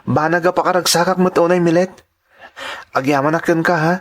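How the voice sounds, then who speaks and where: A man's voice: on the high side, fairly even intonation, fairly quick, lightly acted. A man with a Filipino Ilocano accent playing an earnest, grateful young working student in a sentimental drama. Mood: grateful, tender. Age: young adult. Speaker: drama actor. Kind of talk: sentimental radio-style drama acting.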